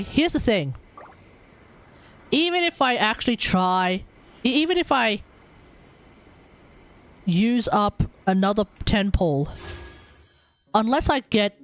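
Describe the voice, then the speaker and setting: A live streamer giving casual gaming commentary, thinking aloud and talking to the chat. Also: medium pitch.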